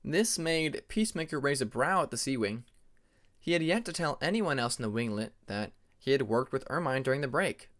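A man's voice: medium pitch, lively intonation, fairly quick, a bit bright. A man narrating scripted fantasy fiction as an audiobook reader.

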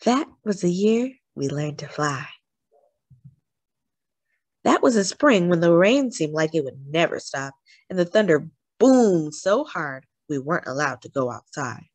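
Very animated woman reading a storybook. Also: low voice, a little slow, no room sound. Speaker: story reader, host of a read-aloud channel. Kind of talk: expressive children's picture book read-aloud.

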